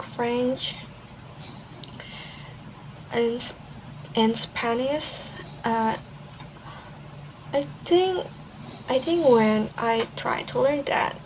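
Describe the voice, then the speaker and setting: Slow, hesitant young Indonesian woman. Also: on the high side, gravelly, rough recording. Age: young adult. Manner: hesitant, many pauses and restarts. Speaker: university student. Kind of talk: casual video presentation. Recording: steady background noise.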